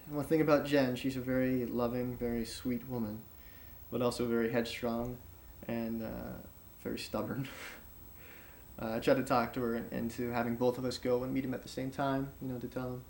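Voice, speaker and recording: Casting: male actor as reflective, once-cynical man in love for film drama; mid-range creaky voice, hesitant, unpolished testimony. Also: mid-range voice, moderate pace, close to an everyday voice. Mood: wistful, fond. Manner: hesitant, reflective, self-correcting. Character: once-cynical man in love, recounting a painful family rift. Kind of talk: naturalistic film monologue, interview-like testimony. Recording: clear steady background noise.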